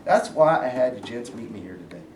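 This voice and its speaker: A man in a staged play reading, playing a domineering, folksy Southern authority figure who gives gruff commands. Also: mid-range voice, sing-song, brisk, a little mellow, natural voice. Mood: commanding, persuasive. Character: blustering Southern sergeant turned governor and stern father. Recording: distant echoey room, faint noise.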